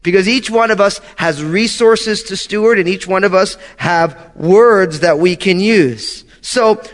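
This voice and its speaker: High-voiced pastor preaching in a reverberant hall. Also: male voice, measured pace. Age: 30s. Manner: earnest, repeats phrases for emphasis. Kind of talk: sermon.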